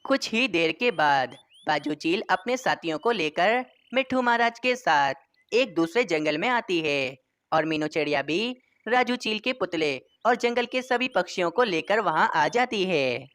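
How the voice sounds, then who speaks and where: A woman's voice: lowish voice, lively intonation, unhurried, a bit bright. A woman reading scripted narration for a children's story.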